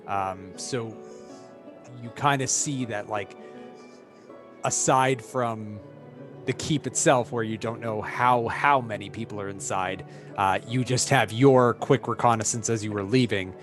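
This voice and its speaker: Hoarse guy game master, slow, lots of ums and restarts. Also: lowish voice, faint music behind. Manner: halting, full of fillers and restarts. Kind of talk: narrating a tabletop game.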